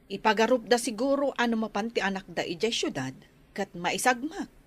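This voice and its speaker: Lady acting as filipino visiting nurse, slightly high voice, chatty n caring, radio drama. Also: animated, moderate pace. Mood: concerned.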